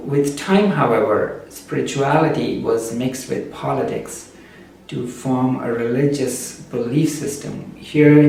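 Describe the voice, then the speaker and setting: Man speaking slowly in a very echoey room. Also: medium pitch, a little mellow. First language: Urdu. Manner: slow, measured, with frequent "uh" pauses. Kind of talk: reflective spoken essay. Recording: very echoey room, distant mic, faint background noise.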